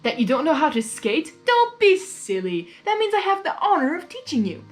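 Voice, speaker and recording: A woman's voice: extremely high pitch, very animated, normal speed, soft background music, voice pushed up. A woman voicing a playful, theatrical male creature of chaos from a cartoon fan story, teasing and doting. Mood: encouraging.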